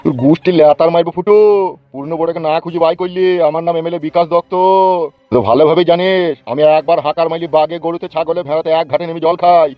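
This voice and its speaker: An actor voicing a bullying, foul-mouthed cartoon politician in rural West Bengal Bengali, hectoring and boastful. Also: male voice, fairly high pitch, little pitch movement, fast talker, no echo, highs cut off, faint music behind, natural voice. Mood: boastful, menacing. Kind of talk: comic cartoon dubbing.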